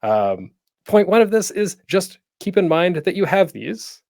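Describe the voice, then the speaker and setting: Animated man presenting casually. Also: high voice, measured pace, vocal fry, no echo, clean studio sound. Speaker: panel host and podcasting instructor. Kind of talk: casual informal online tutorial talk.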